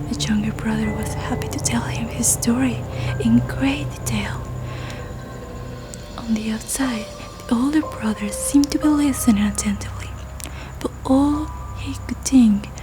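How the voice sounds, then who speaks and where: Female ASMR storyteller, slightly high voice. Soft, unhurried whisper for folk tale narration, music underneath.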